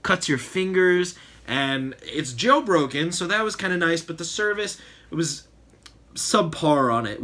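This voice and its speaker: A man hosting a casual storytime vlog, talking in a chatty, exasperated way with a voice that is slightly hoarse. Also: a little high, fairly bright tone. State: slightly hoarse, losing his voice. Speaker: channel host.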